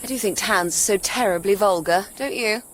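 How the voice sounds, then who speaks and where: A woman's voice: medium pitch, brisk, a little hiss or hum, close to an everyday voice. An actress playing a sophisticated, teasing young woman with an English accent and a sardonic manner, in a radio drama. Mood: arch, teasing. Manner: arch, sardonic. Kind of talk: naturalistic radio drama acting.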